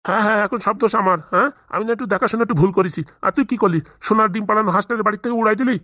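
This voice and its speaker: Grumbling old cartoon moneylender played by a man, extremely quick talker, whining, defensive, dry sound. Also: sits high, pushed and bright, dry recording. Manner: whining, defensive, blame-shifting. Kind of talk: comedy cartoon dialogue.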